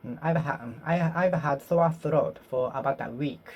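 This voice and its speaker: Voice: medium pitch, normal speed, a little mellow. An English learner whose first language is Japanese, practising speaking alone, repeating phrases and correcting themselves as they go. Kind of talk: solo speaking practice, talking to oneself.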